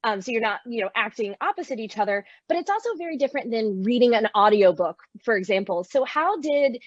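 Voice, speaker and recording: A woman's voice: on the high side, animated, fairly quick, dry recording. A woman moderating a panel, putting questions in a conversational interviewing style, on slightly dull, dry call audio.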